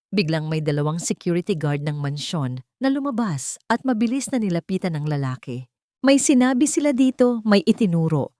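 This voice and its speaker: Filipino female narrator for audio drama; lower register, measured suspense, close dry sound. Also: darkish tone, no room sound. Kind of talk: dramatic scripted storytelling.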